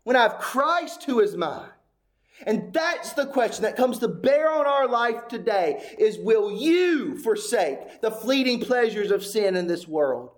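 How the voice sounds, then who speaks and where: High-voiced man preaching a sermon. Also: sing-song, unhurried. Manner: preaching with rising intensity, repetitive rhetorical questions. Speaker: pastor.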